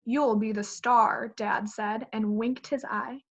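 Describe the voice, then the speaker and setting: A woman's voice: on the high side, steady tone, slow, a bit bright. A woman teacher reading a children's picture book aloud on a dull, lo-fi recording. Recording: dull lo-fi audio.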